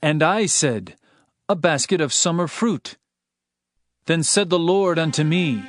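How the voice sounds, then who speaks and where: Slow, high-voiced fervent prophet. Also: male voice, lively intonation, a little hiss or hum. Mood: solemn recounting. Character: earnest male herdsman turned prophet, pleading and warning. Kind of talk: dramatized scripture reading. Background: music.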